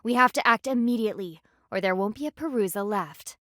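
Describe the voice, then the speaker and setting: A woman's voice: a little high, animated, a little fast, pushed and bright, no echo, studio quality. A woman voicing a stern, proud panda warrior in a video game, speaking formally and with haughty, precise diction. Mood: formal, serious. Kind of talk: scripted video game voice acting.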